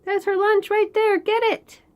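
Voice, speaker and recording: A woman reading a picture book aloud to young children in an expressive way, with chatty asides and questions about the pictures. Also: very high-pitched. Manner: expressive, pauses to point out pictures and ask questions. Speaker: children's read-aloud host.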